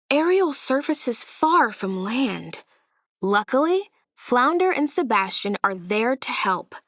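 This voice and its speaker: A woman narrating a children's storybook aloud in an expressive way, with deliberate, dramatic emphasis. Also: on the high side, no echo, clean studio sound.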